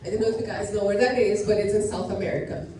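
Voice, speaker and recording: A woman's voice: medium pitch, fairly even intonation, fast talker. Brazilian-born woman officer in echoey room. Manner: explanatory, frequent restarts and fillers. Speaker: community police officer. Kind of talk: informal talk to students. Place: school classroom or gym. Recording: echoey room, distant and noisy.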